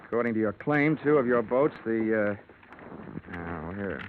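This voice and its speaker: Tinny vintage radio recording, wry hard-boiled investigator. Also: male voice, on the low side, expressive, measured pace, a little hiss or hum. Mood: dry, probing. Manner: dry, wisecracking, clipped. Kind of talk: 1940s-50s radio detective drama acting and narration.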